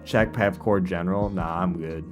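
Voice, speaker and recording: Low-voiced man chatting casually on stream. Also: fairly even intonation, normal speed. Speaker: live streamer. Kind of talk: casual livestream chat, commenting on own video. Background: quiet lo-fi music.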